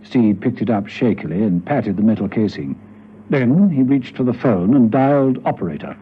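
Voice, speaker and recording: A man acting as the narrator of a spy radio serial, telling the story in a measured, dramatic way. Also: on the low side, expressive, close to an everyday voice. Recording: dull, noisy old radio recording.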